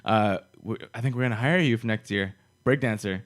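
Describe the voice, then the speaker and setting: A man's voice: fairly low pitch, a little fast. A man in his late twenties hosting a podcast, joking around and swearing casually in laid-back chat. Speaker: podcast host.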